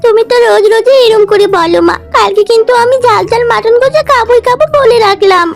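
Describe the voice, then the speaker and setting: A woman's voice: extremely high pitch, quick pace, gravelly, pitched above the performer's own voice. A woman voicing a stubborn, affectionate young village girl in a children's cartoon, earnest and a little whiny. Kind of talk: children's fairy-tale cartoon acting. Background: music.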